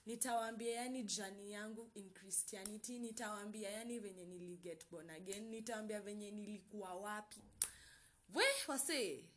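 A woman's voice: mid-range voice, normal speed, a little hiss or hum. Kenyan woman, twenties, solo podcast host; bubbly hype-girl energy, code-switching chat. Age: 20s. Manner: bubbly, hyped, mixes Swahili slang into English. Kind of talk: casual solo podcast intro.

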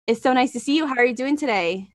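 A woman's voice: high voice, fast talker. A young adult woman hosting an interview, asking prepared questions and often affirming with "absolutely". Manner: friendly, frequent "mm-hmm, absolutely". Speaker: interview host.

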